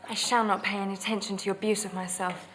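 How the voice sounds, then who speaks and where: A woman's voice: medium pitch, flat delivery, fast talker, a bit bright, close to an everyday voice, low-quality recording. A woman playing a young, coquettish and indignant lady in a dramatized memoir, with emphatic, haughty period speech. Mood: reproachful.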